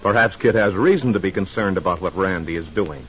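A man's voice: sits low, animated, a little fast, husky. A man narrating an old-time radio serial from a script, on a faintly noisy vintage recording.

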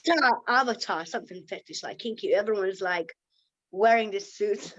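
A woman's voice: mid-range voice, fairly quick, dull audio. A woman from Lithuania with a Lithuanian accent, talking bluntly in a casual interview, swearing and laughing often. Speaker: interview guest.

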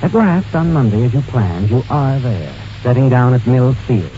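A man's voice: medium pitch, animated, moderate pace, warm tone, a little hiss or hum. A man narrating a suspense story in dramatic, ominous 1940s radio style. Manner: ominous, addresses the character as "you". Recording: muffled old radio recording. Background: dramatic music.

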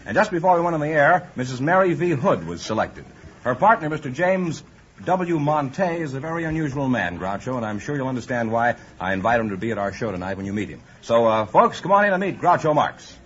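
Animated American male radio announcer. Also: mid-range voice, fairly quick. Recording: muffled old radio recording, faint noise.

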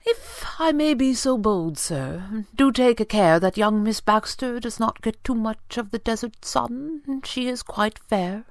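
A woman's voice: on the high side, a little slow, dry recording, clean studio sound, voice pushed up. A woman voicing an older male butler, deferential, formal and fussily polite in an audiobook story. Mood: deferential, gently concerned.

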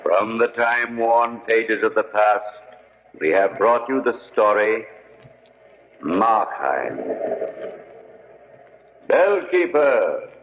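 An actor playing a solemn, ominous storyteller announcing in theatrical 1940s radio style. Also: male voice, fairly low pitch, expressive, takes it slowly, pauses often. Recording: muffled, cavernous reverberant vintage radio recording.

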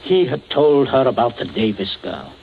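An actor playing a cold, calculating gentleman with measured, formal speech in a 1940s radio suspense drama, on a muffled, noisy recording. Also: mid-range voice, very animated. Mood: cold, grave. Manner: measured, deliberate, formal phrasing. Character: cold, commanding, calculating gentleman blackmailing with menace.

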